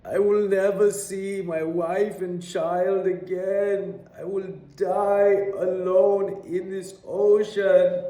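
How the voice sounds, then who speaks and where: A man playing a despairing man stranded at sea, with slow, drawn-out melodramatic delivery in an amateur video performance. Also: high voice, level tone, dark tone, voice pushed up. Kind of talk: hammy amateur dramatic acting for a video. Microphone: phone. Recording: roomy echoing phone recording.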